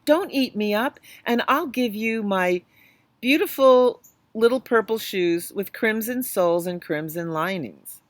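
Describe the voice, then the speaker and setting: Woman playing a small scared storybook boy, high and squeaky, pleading. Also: lively intonation, a little slow, dry recording, natural voice. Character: frightened, clever little boy.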